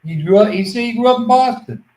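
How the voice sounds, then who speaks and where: A man's voice: very high voice, animated, a little mellow, reverberant. A man in casual podcast banter who rambles and jokes, often restarting his sentences. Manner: rambling, joking, halting restarts.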